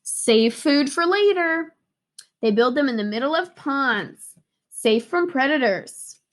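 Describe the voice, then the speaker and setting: High-voiced woman teaching young children, unhurried. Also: lively intonation. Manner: simple explanatory phrasing with emphatic repeated words. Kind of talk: read-aloud lesson for young children, explaining a picture book.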